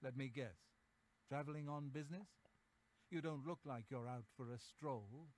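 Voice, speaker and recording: A man's voice: medium pitch, normal speed, darkish tone, natural voice. A man voicing a polite but weary innkeeper in a video game, hesitant when talking about trouble. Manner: polite, hesitant, slightly gossipy. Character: weary, businesslike male innkeeper.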